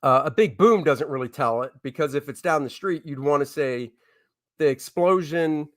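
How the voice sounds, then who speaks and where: Chatty man, slightly high-pitched, casual livestream host. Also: normal speed, no room sound, studio quality. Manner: chatty, informal, addresses viewers as guys. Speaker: host, comic book artist. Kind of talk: casual livestream chat, reading viewer comments aloud.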